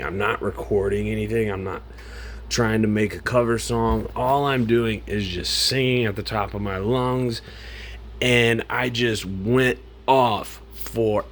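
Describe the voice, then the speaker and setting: A man in his forties, hoarse from singing, rambling in an unscripted vlog from his home computer setup. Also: fairly low pitch. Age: 40s. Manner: rambling, loose, self-interrupting. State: raspy from singing. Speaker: vlogger. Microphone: home studio mic through audio interface.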